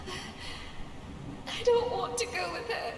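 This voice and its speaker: A woman voicing a frightened, weeping little girl in a horror game, pleading for her mother in drawn-out wails. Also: extremely high pitch, distant mic. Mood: terrified crying. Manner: pleading, slow, drawn-out wailing. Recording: echoey cavernous hall from afar, heavy noise.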